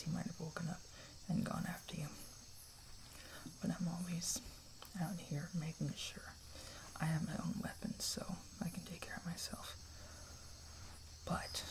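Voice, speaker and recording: A man playing a kind, protective camper in an ASMR roleplay, speaking in a soft, breathy half-whisper with a hesitant, reassuring manner. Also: fairly high pitch, low-quality recording. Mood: watchful, protective. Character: kind, protective young male camper comforting a stranger.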